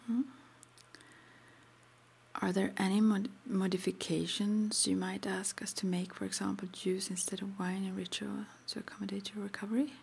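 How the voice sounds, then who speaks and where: A woman whispering gently and hesitantly in an ASMR roleplay, as an interviewer asking intake questions in a breathy voice. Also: mid-range voice, level tone, normal speed. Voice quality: breathy whisper with some creak. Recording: faint background noise.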